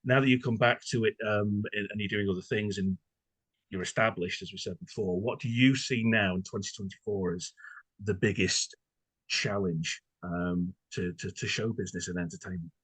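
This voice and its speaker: A man with a very low, rough-edged voice interviewing a guest. He is courteous and halting, feeling his way through his questions. The sound is close and dry. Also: fairly even intonation, a bit bright, no room sound, rough recording. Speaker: podcast interviewer. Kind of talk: conversational interview.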